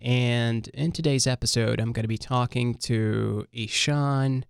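Male podcast host with a gravelly voice. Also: medium pitch, steady tone, unhurried, dry recording. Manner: warm, many "uh" and "um" fillers, eager follow-ups. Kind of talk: hosting an interview podcast. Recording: dry close studio mic.

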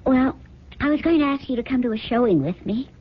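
A genteel, gushing wife in a vintage radio play. Her voice is fairly high, polite and coaxing. The recording is dull with faint noise. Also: lively intonation, brisk. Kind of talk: 1970s radio drama acting.

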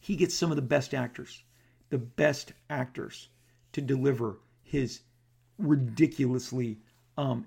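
Gravelly man rambling with many restarts. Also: on the high side, darkish tone. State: gravelly, clearing his throat. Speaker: comic book artist. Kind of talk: casual talk to camera.

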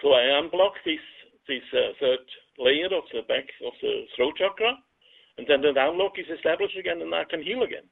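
A man's voice: fairly high pitch, lively intonation, creaky. Swiss man on a tinny phone line. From Switzerland. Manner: halting, repeats words. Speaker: caller. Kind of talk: casual call-in chat.

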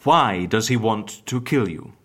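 A woman's voice: very deep voice, expressive, measured pace, slightly rough, heavily acted. A woman playing a sceptical, exasperated agency interviewer in an audio comedy, with a dry, questioning and impatient manner. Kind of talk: scripted audio comedy drama.

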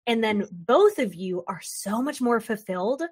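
A woman hosting an interview, chatting in an animated, jokey way and acting out little quoted voices as she talks. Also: on the high side, measured pace, husky. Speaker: podcast host. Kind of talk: casual interview chat.

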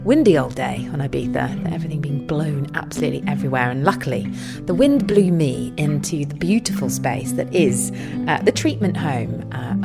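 A woman podcast host with a slightly low voice chats casually over clearly audible music. She interviews in a playful, teasing way. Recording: music behind the voice.

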